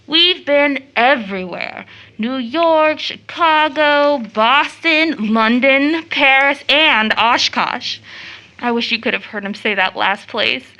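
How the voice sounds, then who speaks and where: A woman's voice: sits high, slow pace, bright, forceful tone, lo-fi sound, full character voice. A young American woman acting a playful, affectionate, impulsive daughter in a period audio drama, with effusive, teasing, girlish delivery. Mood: excited, teasing.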